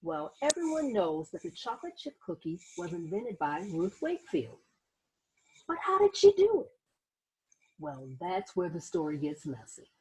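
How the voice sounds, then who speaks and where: A woman hosts a picture book read-aloud for children. Her voice is very husky and soft-edged, with a mellow tone. She tells the story with lively, varied pitch and speaks directly to young listeners in small asides. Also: moderate pace, poor audio.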